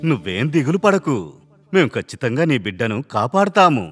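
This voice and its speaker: A man playing a kindly, excitable vegetable farmer in a children's cartoon, speaking in an expressive, earnest way. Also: mid-range voice, fairly quick, music in the background, lightly acted. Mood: reassuring. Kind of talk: animated children's moral story dialogue.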